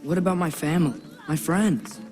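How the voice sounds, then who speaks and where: A young actor playing an earnest, guarded teenage half-giant in a video game, hesitant yet insistent. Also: male voice, a little high, normal speed, darkish tone, natural voice. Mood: wary, earnest. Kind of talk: naturalistic video game cutscene acting. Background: background music.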